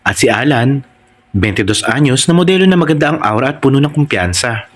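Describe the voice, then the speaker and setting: A man's voice: medium pitch, expressive, a little fast. A man with a Filipino accent reading a scripted romantic story as narrator. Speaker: narrator and channel host.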